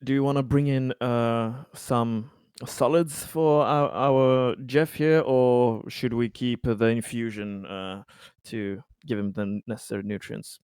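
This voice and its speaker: A man with a smooth, mid-pitched voice speaks in character as a tabletop game player. He is polite and formal but halting, recorded close and dry. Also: steady tone, darkish tone, no room sound. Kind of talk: in-character improvised conversation.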